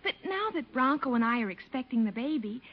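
High-voiced, firm young niece. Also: female voice, lively intonation, fairly quick. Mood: coaxing. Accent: American. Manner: scolding, persuasive. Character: young married niece, expectant mother, firm and coaxing. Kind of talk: 1950s radio comedy acting. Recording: muffled old radio recording.

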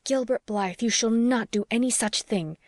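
A woman's voice: medium pitch, moderate pace, a little mellow, no echo, clean studio sound, pitched above the performer's own voice, lightly acted. A woman voicing a passionate, protective young wife who argues in an emphatic, pleading way, as in an audiobook. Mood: pleading, vehement. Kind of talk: audiobook character dialogue.

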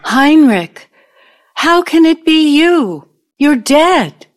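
A woman's voice: sits high, sing-song, very slow, full character voice. An actress playing a bitter, incredulous ex-girlfriend, blunt and sardonic, in an audio play. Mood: shocked, incredulous. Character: bitter, incredulous middle-aged ex-girlfriend, a pianist.

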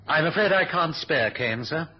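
A man's voice: a little high, animated, moderate pace, natural voice. A man playing a strict, proper British security chief in a 1970s radio drama, formal and dry. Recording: muffled, faintly noisy old radio recording.

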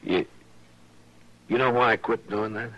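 An actor playing a patient, authoritative frontier marshal in a radio western, speaking plainly and in a measured way with an American accent. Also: male voice, on the low side, steady tone, a bit bright, highs cut off. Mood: gentle, fatherly. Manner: measured, fatherly, plain-spoken. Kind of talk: old-time radio western acting.

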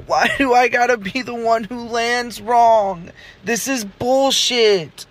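A man's voice: very high-pitched, fairly even intonation, slow, a bit bright, pitched above the performer's own voice, full character voice. A man voicing a brash young man who blurts things out crudely in an audio drama. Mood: angry, in pain. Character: brash, whiny, curious young man, Nick.

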